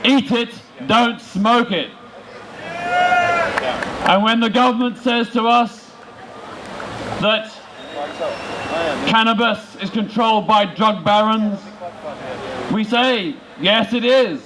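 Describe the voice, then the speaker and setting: A man making an emphatic protest speech outdoors, in short, deliberate rhetorical phrases. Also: high-pitched, crowd noise, poor audio. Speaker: political campaigner speaking at a rally. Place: large open-air city square rally. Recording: outdoor public address with background noise.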